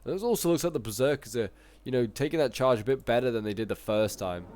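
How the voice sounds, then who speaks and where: A man with a bit of a cold, chatting casually through strategy-game commentary, rambling along and then bursting out excitedly at big moments. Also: mid-range voice, fast talker, very noisy. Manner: chatty, with sudden excited outbursts at big plays. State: sniffly, coming down with a cold.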